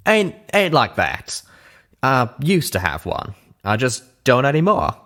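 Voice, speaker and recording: A man's voice: medium pitch, wildly swooping pitch, measured pace, a bit bright, pitched above the performer's own voice, exaggerated voice. Man putting on a drawling pegasus pony. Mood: reluctant, rueful. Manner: folksy drawling speech with country slang. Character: eager, folksy male pegasus pony tinkerer.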